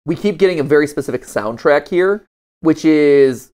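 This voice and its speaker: A man's voice: on the high side, expressive, normal speed, a little mellow. Man chatting through theories casually. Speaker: host. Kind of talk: casual reaction and theory chat.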